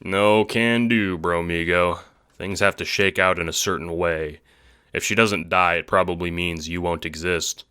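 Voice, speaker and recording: A man acts out a laid-back teenage boy in an audio drama. His voice is low and bright-edged, recorded very dry. He talks in terse, casual slang with a deadpan cool. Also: unhurried, clean tone, no echo. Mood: reassuring.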